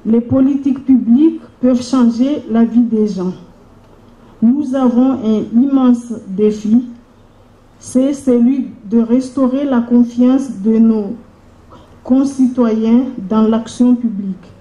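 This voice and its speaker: Woman, official welcoming a conference. Very dark, mellow tone, measured formal delivery, roomy muffled recording. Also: on the high side. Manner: solemn, deliberate, in short measured phrases. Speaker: mayor giving a welcome address. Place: large room at a public conference. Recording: dull, echoey conference hall PA sound.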